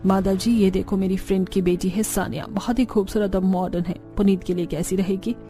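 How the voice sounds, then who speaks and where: A woman's voice: medium pitch, steady tone, a little fast, dark tone, close to an everyday voice. A woman voicing a dismissive, bossy, westernized wife and mother-in-law in a cartoon. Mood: scheming. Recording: background music under the voice.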